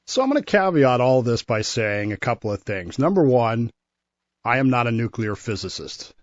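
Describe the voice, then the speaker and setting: An American man co-hosting a history segment on talk radio, explaining things in a wry, measured, casual way. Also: mid-range voice, sing-song, no room sound.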